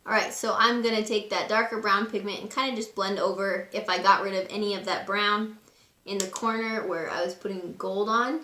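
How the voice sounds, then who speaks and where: A woman with a raspy voice today, casually talking through a makeup tutorial at home. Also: mid-range voice, reverberant. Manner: chatty, relaxed and instructive, with frequent fillers. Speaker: beauty vlogger. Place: room at home.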